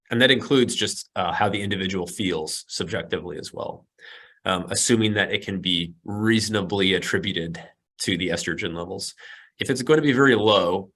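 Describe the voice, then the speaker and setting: A hoarse male doctor, losing his voice, explaining medical details in precise, technical terms. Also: fairly low pitch. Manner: precise, jargon-heavy, steady explaining. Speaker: physician guest.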